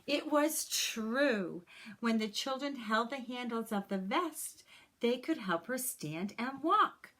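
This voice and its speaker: A woman reading a children's story aloud in an expressive, deliberate way. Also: on the high side. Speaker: storybook reader. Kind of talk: children's read-aloud with personal asides.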